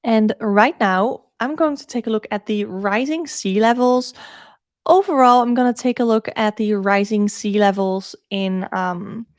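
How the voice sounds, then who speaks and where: A woman's voice: fairly high pitch, a little slow, no echo, clean studio sound. A woman from the Netherlands with a Dutch accent, chatting casually as a live-streaming tarot reader. She thinks aloud informally and often repeats herself.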